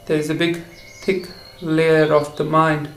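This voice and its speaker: A man guiding a meditation for relaxation and sleep, speaking in short, halting phrases. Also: a little high, little pitch movement, gravelly, a bit bright, faint music behind. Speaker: meditation guide. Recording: roomy echo with faint insect noise.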